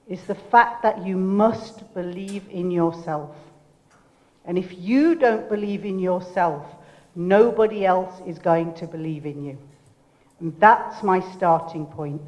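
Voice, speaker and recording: An older woman speaks slowly and deliberately at a middling pitch, her voice loud and commanding as she gives the audience orders. Her motivational talk rings with the long reverb of a lecture hall. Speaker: keynote speaker. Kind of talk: motivational speech.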